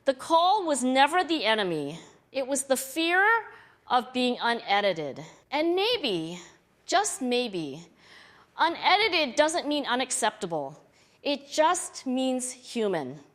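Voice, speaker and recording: Female narrator for reflective self-help script: high register, very varied intonation, deliberate short phrasing. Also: sing-song, a bit bright. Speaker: video narrator.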